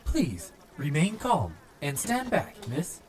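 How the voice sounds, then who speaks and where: A man's voice: a little high, wildly swooping pitch, slow pace, voice pushed up, full character voice. A man voicing a stiff, formal android police officer with stilted, emotionless official phrasing in an audiobook. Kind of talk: audiobook character voice.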